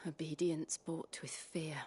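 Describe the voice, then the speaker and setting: An actress playing a guarded, thoughtful travel companion in a fantasy video game, breathy and subdued. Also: female voice, deep voice, flat delivery, measured pace, dark tone. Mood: subdued, pensive. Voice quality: breathy, husky. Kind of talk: scripted video game acting.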